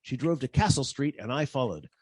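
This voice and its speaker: An actor playing a wisecracking, unflappable private detective in an old-time radio drama, with a husky voice and a wry, brisk manner. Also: male voice, mid-range voice, big pitch swings, dry recording. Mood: narrating.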